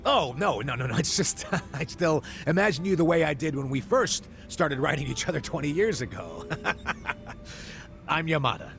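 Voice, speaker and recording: An actor voicing a blustering, slangy middle-aged ex-cop who bluffs as a rich benefactor in a video game. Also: male voice, a little high, big pitch swings, moderate pace, creaky, fairly bright tone, soft background music, exaggerated voice. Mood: flustered, putting on airs. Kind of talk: video game cutscene dialogue.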